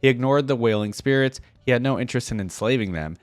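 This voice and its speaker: Mann mit mittlerer Stimmlage, trocken aufgenommen. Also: male voice, mid-range voice, quick pace, dry recording, faint music behind. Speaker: online reaction host. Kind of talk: casual reaction commentary with dramatic read-aloud of fantasy lore.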